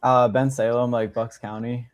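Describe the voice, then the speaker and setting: A man's voice: mid-range voice, little pitch movement, moderate pace. A man whose first language is Russian, co-hosting a podcast in an easygoing way and laughing often. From Los Angeles and Philadelphia, USA. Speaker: podcast co-host. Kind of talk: casual podcast conversation.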